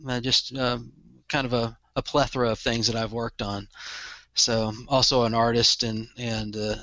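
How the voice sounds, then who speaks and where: A man's voice: medium pitch, fairly bright tone, no echo, dull audio. A middle-aged man with a Texan accent, a podcast guest chatting casually and chuckling often as he talks. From Texas. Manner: easygoing, chuckles often, repeats words. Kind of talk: casual interview chat.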